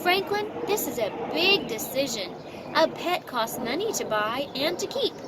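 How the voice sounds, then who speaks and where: A woman voicing calm, cautious turtle parents from a storybook, measured and gently firm. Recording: noisy outdoor amateur recording, ambient rumble.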